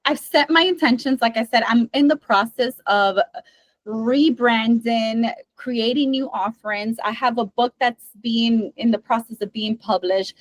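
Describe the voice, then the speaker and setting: A woman's voice: on the high side, measured pace, no echo. Upbeat woman with a faint Brooklyn accent. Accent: mixed Brooklyn and Massachusetts. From Brooklyn, New York. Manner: laughs often, earnest and upbeat. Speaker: guest, health coach. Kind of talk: casual interview chat.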